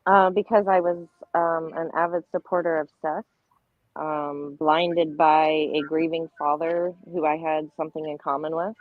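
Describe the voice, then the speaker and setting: A woman's voice: sits low, little pitch movement, a little slow, no echo. A woman speaking as a guest in a casual livestream chat. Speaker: panel guest. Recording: tinny phone-like line, music underneath.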